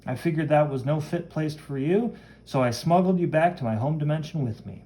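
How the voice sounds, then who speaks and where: A man's voice: on the high side, a little fast, mellow, pitched above the performer's own voice, close to an everyday voice, rough recording. A man voicing a cocky, chivalrous young superhero, reading comic-book lines aloud in a brash, dramatic manner. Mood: earnest. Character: cocky, chivalrous young male superhero who wields flame.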